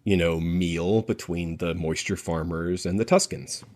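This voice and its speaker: A man's voice: deep voice, fairly even intonation, measured pace, darkish tone. A man co-hosting a podcast in casual, rambling, joking banter. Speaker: podcast co-host. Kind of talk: casual chat.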